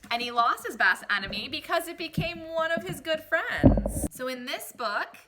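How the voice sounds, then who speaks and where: A woman reading a picture book aloud expressively, like a teacher, pausing to explain and question young listeners. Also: high-pitched, lively intonation, noisy background. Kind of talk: expressive children's read-aloud.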